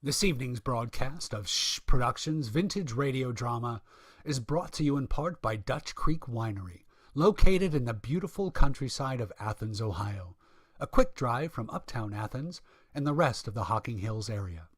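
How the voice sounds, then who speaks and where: Announcer: man, medium pitch, scripted sponsor read, dry recording. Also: expressive, normal speed, slightly rough, no echo.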